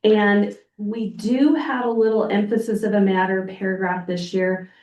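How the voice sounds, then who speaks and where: Hoarse woman auditor briefing a board, plain and practical, recorded from afar in an echoey meeting room. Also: medium pitch, fairly even intonation, normal speed, darkish tone, distant mic. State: hoarse from a cold. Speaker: external auditor. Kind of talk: presenting a financial report at a public board meeting.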